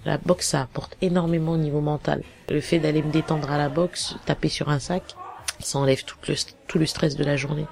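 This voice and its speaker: Gravelly-voiced woman from Cameroon. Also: low voice, fairly even intonation, a little mellow, a little hiss or hum. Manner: halting, many fillers and restarts. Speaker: interviewee. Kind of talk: casual personal testimony.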